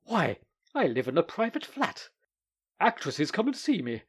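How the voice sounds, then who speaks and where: A man voicing a husky-voiced male comic actor in a storybook reading, sounding flustered. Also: a little high, huge pitch swings, a little slow, fairly bright tone, pitched above the performer's own voice, full character voice.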